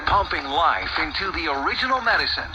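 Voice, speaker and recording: A man's voice: a little high, animated, brisk, highs cut off, over loud music. A male radio announcer reading a promotional bumper for a show.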